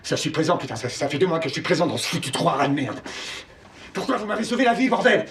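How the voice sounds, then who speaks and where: A man's voice: fairly high pitch, sing-song, fast, fairly bright tone. An actor playing a bitter, despairing man in angry outbursts, in an intense film drama. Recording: echoey, distant, faint ambient noise.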